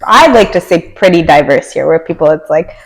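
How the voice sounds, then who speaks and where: A woman's voice: deep voice, animated, a little fast, slightly rough. A young woman from Canada with a Canadian accent, a podcast guest talking casually, filling her speech with many "like"s and laughing often. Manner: chatty, filler-heavy with many likes, laughs often.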